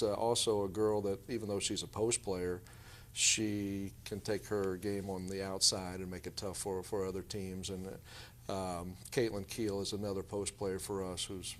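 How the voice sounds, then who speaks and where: Male basketball coach, lowish voice, halting measured answers in a conversational interview. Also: little pitch movement, a little hiss or hum.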